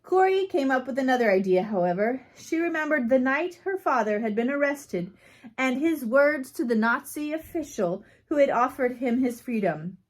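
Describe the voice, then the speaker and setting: A woman reading a biography aloud as scripted narration, in measured phrases like an audiobook reader. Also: sits high, lively intonation.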